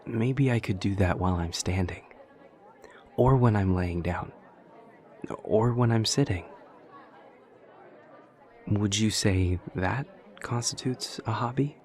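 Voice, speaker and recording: A man playing an awkward, literal-minded demon college student in an audio roleplay, speaking in a halting, formal, earnest way. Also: on the low side, a little mellow, some chatter behind. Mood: earnest, thoughtful. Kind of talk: roleplay audio drama acting.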